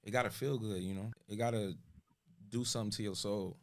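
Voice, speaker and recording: A man's voice: lowish voice, steady tone, a little fast, no room sound, studio quality. A man from Florida, a musician guest, chatting casually and laid-back, often saying "you know what I'm saying". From Pompano Beach, Florida. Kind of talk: casual chat.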